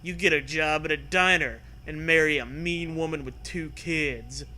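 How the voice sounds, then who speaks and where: Very bright-voiced man reading comedy aloud, noisy. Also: on the high side, normal speed. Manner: profane, jokey, theatrical delivery. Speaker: host reading a choose-your-own-adventure story aloud. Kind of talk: comedic read-aloud with casual riffing.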